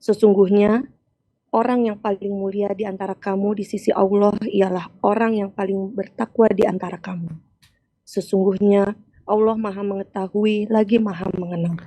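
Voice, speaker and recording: A woman recites a Quranic verse in a measured, even way, then reads its translation aloud. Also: mid-range voice, dry recording. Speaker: Quran reciter invited to read.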